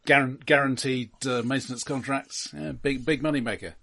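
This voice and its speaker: A man co-hosting a casual film discussion podcast, halting as he talks and often stammering over repeated words. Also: mid-range voice, fairly even intonation, vocal fry, a crowd in the background. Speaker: podcast co-host.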